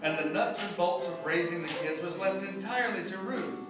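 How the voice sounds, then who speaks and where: A man playing the narrator of a family story in a scripted radio drama, telling it in a measured, deliberate way. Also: sits high, music in the background, lightly acted. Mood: measured, wry. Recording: echoey, distant microphone.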